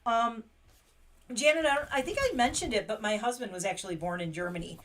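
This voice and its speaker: Woman, chatty, excitable, casual livestream talk at home. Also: on the high side, a little fast, a little hiss or hum. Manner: chatty, excitable, laughs often. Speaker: beauty livestream host.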